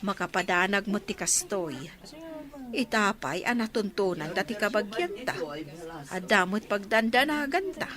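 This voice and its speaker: A woman playing a philosophical, gently probing village confidante in an Ilocano radio drama, with an Ilocano accent. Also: mid-range voice, lively intonation, normal speed, lightly acted. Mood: concerned.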